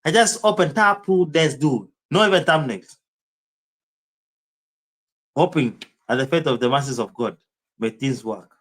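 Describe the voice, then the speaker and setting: A man's voice: fairly high pitch, measured pace, pauses often, a bit bright. Kenyan man rambling through a livestream. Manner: rambling, answers chat comments by name. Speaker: live streamer. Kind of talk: casual livestream commentary.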